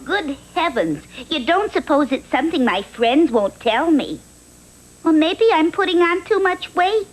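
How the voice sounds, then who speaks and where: A woman's voice: high-pitched, sing-song, measured pace, slight background noise, poor audio. A woman voicing a lovelorn, impatient fairy tale maiden in a comic cartoon, gushing and bossy by turns. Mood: fretful. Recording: old TV cartoon soundtrack with music.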